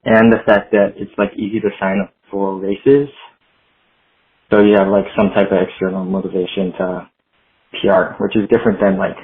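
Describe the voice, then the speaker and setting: A tinny phone voicemail from a man with a fairly low voice. He talks casually, explaining his thinking as a caller would.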